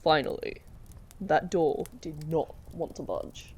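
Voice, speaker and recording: Actor as adventuring party member: medium pitch, wry, easygoing, fantasy audio drama, faint background noise. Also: takes it slowly, close to an everyday voice. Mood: exasperated. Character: casual, wry adventurer companion.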